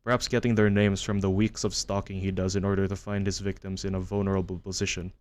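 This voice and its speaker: A man narrating a horror commentary as a video essayist, measured and matter-of-fact, reading from a script. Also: deep voice, level tone, darkish tone. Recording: music under the voice-over. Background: background music.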